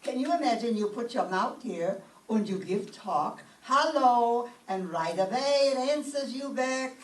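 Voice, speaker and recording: A woman's voice: on the high side, animated, unhurried. Woman playing a Yiddish-accented fussing mother, roomy stage. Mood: amazed, naive. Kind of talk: old-time radio comedy acting. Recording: roomy stage pickup, distant mic, faint noise.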